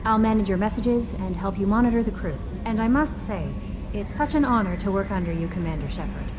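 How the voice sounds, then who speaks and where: A woman's voice: medium pitch, lively intonation, brisk, over music, lightly acted. Eager, friendly female yeoman, played by a woman. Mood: eager, welcoming. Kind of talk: scripted sci-fi game acting.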